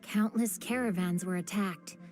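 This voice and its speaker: A woman's voice: mid-range voice, a little slow, music in the background, close to an everyday voice. A woman playing a polite, bookish youth in a video game, telling old legends in an articulate, earnest way. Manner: articulate, storytelling.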